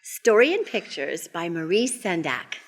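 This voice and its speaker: A woman reading a picture book aloud to young children in an expressive, theatrical way, inviting them to join in. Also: fairly low pitch, measured pace, soft background music. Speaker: children's storyteller and host.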